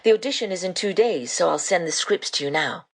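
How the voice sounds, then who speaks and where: A woman voicing a brisk, businesslike voiceover agent on a call, in an audiobook. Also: on the low side, expressive, voice pushed down. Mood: brisk, informative. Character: businesslike adult voiceover agent phoning with news.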